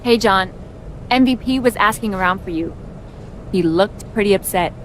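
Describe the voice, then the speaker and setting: A woman's voice: mid-range voice, normal speed, a little hiss or hum, natural voice, low-quality recording. An actress playing a friendly, supportive female wrestler in a video game cutscene, casual and sympathetic.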